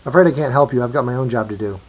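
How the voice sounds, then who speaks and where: A man's voice: medium pitch, rapid-fire, highs cut off, close to an everyday voice. A man voicing a tired, dutiful old guard dog in a storybook reading, plain-spoken and resigned.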